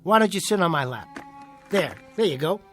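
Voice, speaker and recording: An actor playing an uneasy nightclub ventriloquist in a radio play. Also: male voice, a little high, big pitch swings, moderate pace, soft background music. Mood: showy. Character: uneasy, troubled nightclub ventriloquist doing straight-man patter.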